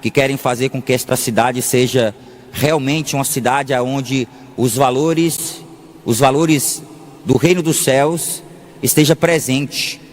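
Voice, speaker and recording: Slow-paced Brazilian priest in an echoing hall. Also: male voice, mid-range voice, steady tone. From Salvador, Bahia, Brazil. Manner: deliberate, pausing often, repeats phrases for emphasis. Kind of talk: sermon. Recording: long echoing reverb of a large hall.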